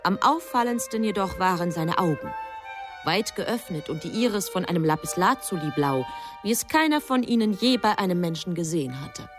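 A woman's voice: fairly low pitch, animated, normal speed, natural voice. A woman performing as the composed narrator of a literary radio drama, in scripted narration. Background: music.

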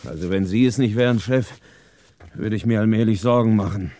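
A man's voice: fairly low pitch, brisk, dry recording, close to an everyday voice. An actor playing a puzzled, impatient police assistant who grumbles and questions his chief in a radio crime drama. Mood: grumbling. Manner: animated, complaining, questioning. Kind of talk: 1960s German radio crime drama acting.

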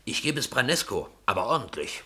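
An actor playing an eager, obliging young reporter in a radio play. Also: male voice, medium pitch, very animated, a little fast, sharp tone. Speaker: junior journalist. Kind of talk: 1950s radio play acting.

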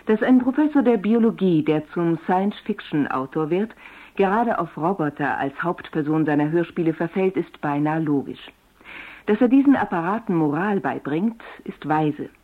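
A woman with a lowish voice introduces a radio programme. She reads formally and deliberately from a script. The recording is boxy and narrow, like vintage radio. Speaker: radio announcer.

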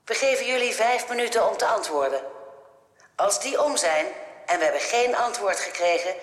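An actress playing a cold, formal alien flight controller in a science fiction radio drama, measured and threatening. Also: female voice, mid-range voice, lively intonation, close to an everyday voice. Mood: cold, official. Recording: echoey, long hall-like reverb.

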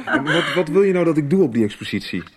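An actor in a radio soap playing a casual, questioning young musician son. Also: male voice, fairly high pitch, big pitch swings, quick pace. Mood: curious. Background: plucked guitar music.

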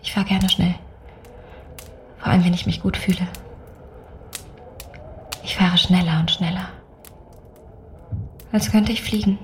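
A woman's voice: on the low side, steady tone, measured pace, pauses often, noisy background, natural voice. An actress playing a composed woman with a cold edge in a radio play. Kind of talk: radio drama acting.

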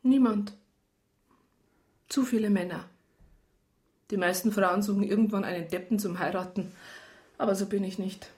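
A woman's voice: mid-range voice, moderate pace, long pauses, a little mellow, close to an everyday voice. An actress playing a worldly, disillusioned woman in a radio drama, frank, dry and teasing in a natural style. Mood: frank, wistful. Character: worldly, disillusioned, flirtatious Bavarian woman, bluntly independent.

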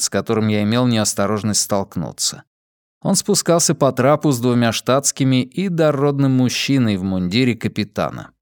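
A man narrates a novel in the first person. His voice sits at a medium pitch, and the recording is dry, with no room sound around it. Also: no room sound. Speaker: audiobook narrator.

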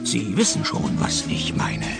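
A man playing a cynical, deadpan private detective and narrator in a radio play. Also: on the low side, a little slow, gravelly, loud music behind the voice, exaggerated voice. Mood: laconic narration. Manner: deadpan, sardonic.

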